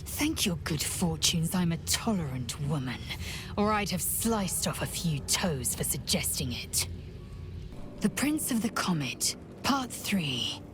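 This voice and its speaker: A woman playing a fierce, proud, zealous alien warrior in a video game, speaking in an imperious, clipped and solemn way. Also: lowish voice. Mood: scornful, indignant. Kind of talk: dramatic video game acting, reciting a legend.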